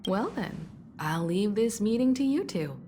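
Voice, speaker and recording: An actress voicing a composed, mature woman mentor in a video game, calm and measured. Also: medium pitch, slight background noise. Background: game soundtrack music.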